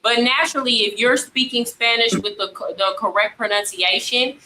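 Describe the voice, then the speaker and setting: A woman's voice: fairly high pitch, moderate pace, sharp tone. A woman arguing her point in casual debate, insistent and explanatory. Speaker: podcast guest. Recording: roomy echo, faint background noise.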